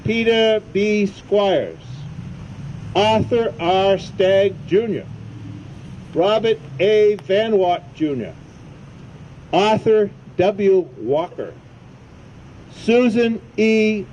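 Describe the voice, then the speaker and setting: Male ceremony emcee, unhurried and plain-spoken, higher-pitched, dull outdoor sound. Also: animated, darkish tone. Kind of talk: ceremonial name reading and announcements. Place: outdoor evening ceremony in a park. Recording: muffled, faint outdoor hum and traffic noise.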